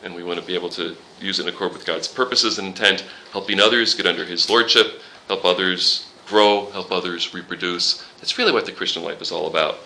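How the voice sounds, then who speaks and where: A man's voice: low voice, a little fast, creaky, hardly any pauses, pushed and bright, a little hiss or hum, poor audio. A man leading an informal Bible study class, quoting scripture and joking with the students. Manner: conversational, quotes scripture, jokes with the class.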